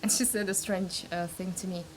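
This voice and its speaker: A woman's voice: fairly low pitch, fairly even intonation, a little fast, slight background noise, low-quality recording. A woman from Russia, a narrative therapist recalling her path, speaking English hesitantly and searching for words. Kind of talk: personal reflection.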